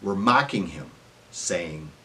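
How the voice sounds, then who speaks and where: A man narrating scripture as a solemn dramatic reading, in measured phrases that trail off at the ends. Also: low voice, animated, sharp tone.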